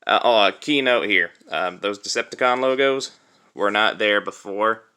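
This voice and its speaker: Bright-voiced man casually reviewing a toy. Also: on the low side, normal speed, clear, resonant tone. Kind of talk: casual unscripted product review. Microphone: cell phone used as camera.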